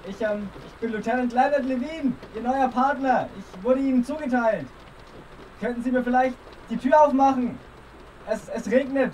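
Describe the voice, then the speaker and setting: An actor playing a nervous, overeager young rookie detective who stammers and chatters. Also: male voice, very high-pitched, normal speed, darkish tone, a little hiss or hum, low-quality recording. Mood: pleading. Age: young adult. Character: eager nervous young male rookie cop. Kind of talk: comedic audio drama.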